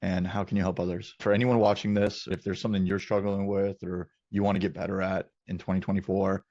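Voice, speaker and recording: A man's voice: deep voice, level tone, fast talker, a little mellow, no room sound, highs cut off. A man hosting a casual business interview, talking in an encouraging, affirming way. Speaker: interview host, company founder.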